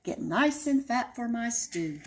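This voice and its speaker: A woman's voice: fairly high pitch, sing-song, unhurried. A woman putting on a sly, greedy storybook wolf. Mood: scheming. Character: greedy scheming male wolf, sly but kind.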